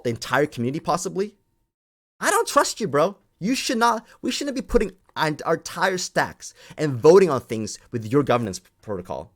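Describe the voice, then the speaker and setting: A man ranting off the cuff to an online audience as a community host, scolding and emphatic, often repeating phrases to drive them home. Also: on the high side.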